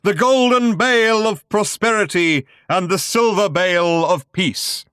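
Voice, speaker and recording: A man voicing a bombastic showman orator from a galactic spectacle in a science fiction audiobook, grandiose, drawn-out and sentimental, with a thunderous delivery. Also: high-pitched, fairly bright tone, clear, resonant tone, dry recording, clean studio sound, pitched above the performer's own voice. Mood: triumphant, grand.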